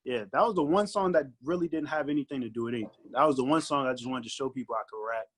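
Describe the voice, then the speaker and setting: A man with a mid-pitched voice chats as an interview guest. He is a recording artist, and his talk is casual, conversational and full of slang. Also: racing, husky.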